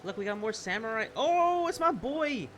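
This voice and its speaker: A man's voice: extremely high pitch, big pitch swings, normal speed, a bit bright. A man giving casual, chatty commentary while he plays a video game. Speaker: gamer, video creator.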